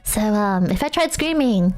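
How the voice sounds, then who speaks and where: A woman's voice: mid-range voice, lively intonation, moderate pace, a little mellow, faint music behind. Rambling young woman streamer. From Australia. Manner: rambling, filler-heavy, self-mocking, laughs often. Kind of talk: casual chat with viewers.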